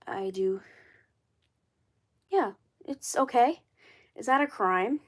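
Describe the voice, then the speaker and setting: A woman in her twenties chatting casually on a livestream, hoarse and froggy from a cold, rambling and repeating herself. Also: a little high, expressive, slow. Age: 20s. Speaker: doll collector livestreaming.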